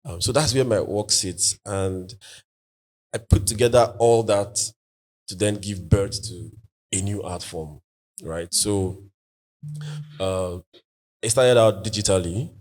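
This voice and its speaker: Deep-voiced Nigerian man, thoughtful and halting. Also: darkish tone. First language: Igbo. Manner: thoughtful, halting, with many fillers and restarts. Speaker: artist. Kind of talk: conversational panel answers.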